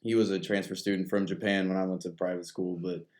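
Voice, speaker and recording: A man's voice: sits low, little pitch movement, quick pace, a little mellow. A young man hosting a podcast, chatting casually in a rambling way, full of restarts. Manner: rambling, many restarts and fillers. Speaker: podcast host. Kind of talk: casual chat.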